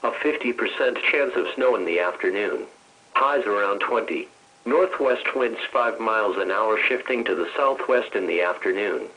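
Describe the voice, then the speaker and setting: A man's voice: fairly low pitch, moderate pace, bright, forceful tone, rough recording. A synthetic American man's voice reading a scripted weather forecast in a formal, impersonal announcing manner. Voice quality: synthetic text-to-speech voice. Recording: weather radio broadcast reception.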